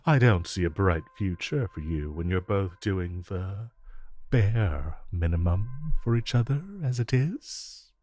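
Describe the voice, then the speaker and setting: A man playing a suave, flirtatious supervillain in a romantic audio roleplay, with a smooth, teasing drawl and deliberate pauses. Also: deep voice, animated, darkish tone, exaggerated voice. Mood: sly, reassuring. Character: suave, flirtatious male supervillain courting his tailor.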